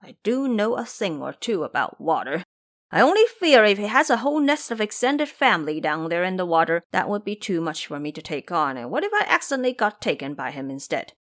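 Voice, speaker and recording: A woman's voice: lowish voice, big pitch swings, brisk. A woman playing a blustering male boar monk and old warrior in an audio drama, blunt and self-important.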